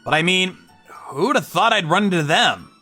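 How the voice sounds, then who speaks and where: Woman acting as young male boss, manga dub, apologetic, hesitant, animated intonation, bright tone. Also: lowish voice, moderate pace, husky, faint music behind, heavily acted. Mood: friendly, hesitant. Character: earnest, apologetic young male company CEO, unsure of himself. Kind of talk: anime-style manga story dub.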